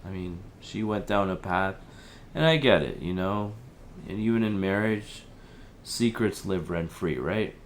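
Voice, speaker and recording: A man playing an apologetic, evasive helpline listener in an audio drama, speaking in a hesitant, halting, conciliatory way. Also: sits low, a little hiss or hum. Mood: weary, guarded. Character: Theo, apologetic, evasive male helpline listener with a troubled marriage. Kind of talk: audio drama, naturalistic phone conversation.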